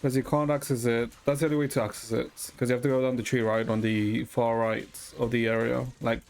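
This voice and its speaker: A man's voice: medium pitch, flat delivery, fairly quick, gravelly, a little mellow. A man streaming a game and chatting casually with viewers, thinking aloud hesitantly with some mild swearing. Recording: music playing behind the voice. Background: music.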